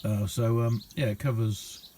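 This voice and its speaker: Middle-aged man, soft dark tone, casual halting hobbyist demo, faint background noise. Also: medium pitch, steady tone. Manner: unhurried, halting, colloquial. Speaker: radio hobbyist showing a homemade receiver. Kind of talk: casual hands-on demonstration vlog. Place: indoor hobby radio room.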